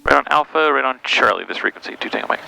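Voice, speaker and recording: A man's voice: mid-range voice, expressive, fast talker. A man piloting his own small plane, mixing casual cockpit chat with radio readbacks and muttered, hesitant callouts over a headset. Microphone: aviation headset. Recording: clear engine drone, aircraft cabin noise.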